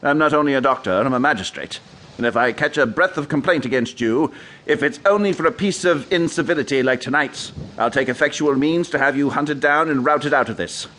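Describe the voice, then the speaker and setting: An actor playing an authoritative gentleman doctor and magistrate, speaking firmly and formally in a radio drama. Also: medium pitch, brisk, a little hiss or hum, natural voice. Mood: stern, threatening. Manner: firm, formal, crisply commanding.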